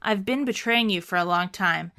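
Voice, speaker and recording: A woman voicing a brokenhearted, self-loathing young man, solemn, measured and tender, as she reads story dialogue aloud. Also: medium pitch, sharp tone. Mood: sorrowful, resigned. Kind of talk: story dialogue read aloud in a game recap.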